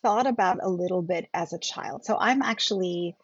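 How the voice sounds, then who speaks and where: A middle-aged woman whose first language is German, speaking as a political scientist guest on a podcast in a thoughtful, measured way. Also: on the low side, breathy edge, no room sound. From India. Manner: thoughtful, measured, often rephrasing. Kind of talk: podcast interview. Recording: dull lo-fi podcast audio.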